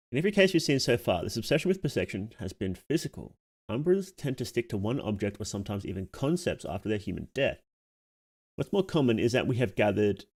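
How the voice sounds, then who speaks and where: A man with a fairly low voice hosts a casual livestream. He reads text from the screen out loud and adds his own offhand reactions, thinking aloud as he goes. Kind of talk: casual livestream reading and commentary.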